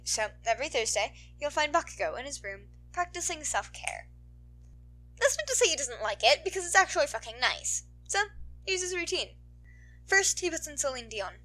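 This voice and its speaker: Bright-voiced woman reading a story aloud. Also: mid-range voice, rough recording. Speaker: amateur fan fiction reader. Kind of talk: expressive story narration with casual swearing.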